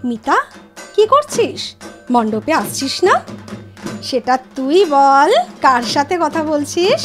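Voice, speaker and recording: Actress for teasing, coaxing woman reuniting with college friends, radio-play style, high girlish voice over prominent music. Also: sing-song, slow pace, heavily acted. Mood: teasing, cheerful. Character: playful Bengali woman back from London meeting old college friends. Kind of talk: Bengali audio drama acting.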